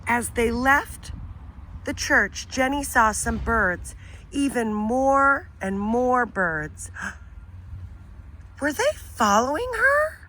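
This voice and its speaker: Slow, bright teacher reading to kids. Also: female voice, a little high, slight background noise, poor audio. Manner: slow and expressive, asks the listener questions. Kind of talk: children's read-aloud story.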